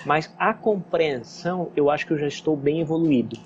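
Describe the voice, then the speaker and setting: A man's voice: a little high, moderate pace. Dull-sounding São man, agreeable student. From São Paulo, southeastern Brazil. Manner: agreeable, repeats himself. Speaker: English student. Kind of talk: casual conversation on a video call. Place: Skype call. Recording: dull online call, faint noise.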